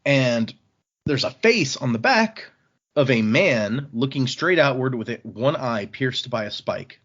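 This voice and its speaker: A man's voice: mid-range voice, expressive, measured pace, fairly bright tone, lo-fi sound. A man hosting a casual book podcast, recapping the story conversationally and loosely voicing characters' lines, with some swearing. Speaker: podcast host recapping a novel.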